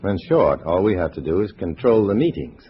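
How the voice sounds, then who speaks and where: A low, lively male voice gives us a hard-boiled undercover agent in an old radio spy drama. He is terse and wryly dry. The recording is muffled, with a faint hiss. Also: fairly quick. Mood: matter-of-fact. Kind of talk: 1950s radio drama acting and first-person narration.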